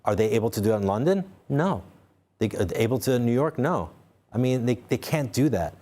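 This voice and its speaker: A man's voice: medium pitch, lively intonation, a little fast, dark tone. A man speaking as a guest in a studio interview, restarting words often and then pressing his points with force. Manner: repeats words while searching for them, then speaks emphatically. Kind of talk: unscripted interview talk.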